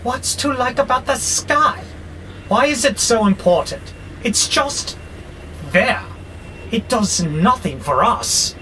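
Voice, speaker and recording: A man plays an arrogant warehouse robot itching for revolution in an audio drama. His voice sits high and swings widely in pitch as he declaims, slow and exasperated. There is clear noise behind him. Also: lively intonation, pushed and bright, exaggerated voice. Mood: exasperated, dismissive. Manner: grandiose, slow and theatrical, exasperated. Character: arrogant, frustrated male warehouse robot plotting war on humans. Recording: clearly noisy background hum.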